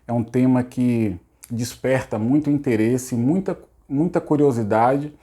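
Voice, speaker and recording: Middle-aged man, hoarse and mellow, rambling psychologist host, rhetorical. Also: medium pitch, a little slow. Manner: repetitive, rhetorical, casual asides. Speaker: psychologist hosting a channel. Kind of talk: unscripted spiritual self-help talk.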